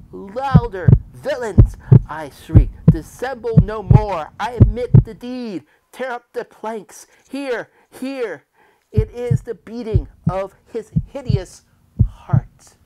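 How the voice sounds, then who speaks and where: A reader plays a murderer unravelling as he confesses in a gothic horror story. The delivery is slow and theatrical, at a middling pitch. It swings between hushed smugness and frantic shrieking. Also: animated, heavy background noise. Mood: frantic, shouting. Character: guilt-mad murderer narrating his confession, increasingly frantic. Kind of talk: dramatic reading of a gothic horror tale.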